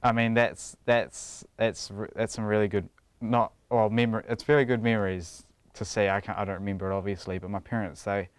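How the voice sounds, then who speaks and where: A young man with a New Zealand accent talks casually through an interview, often laughing and restarting his sentences. His voice sits a bit low and comes across smooth and clear. The sound is dry, with no room echo. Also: steady tone, brisk, few pauses.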